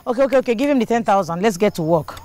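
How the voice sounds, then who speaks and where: A woman's voice: medium pitch, lively intonation, very fast, a little mellow, slight background noise, natural voice. An actress playing a proud, outspoken young woman mechanic, with a Nigerian accent and an assertive manner that slips into Pidgin. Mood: businesslike, firm. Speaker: actor in a film drama.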